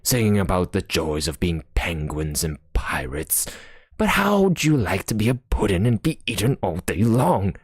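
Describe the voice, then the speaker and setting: A man voicing a gloomy, grumbling storybook pudding in a gruff, mournful voice. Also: lowish voice, sing-song, slow, dry recording, clean studio sound, voice pushed up, exaggerated voice.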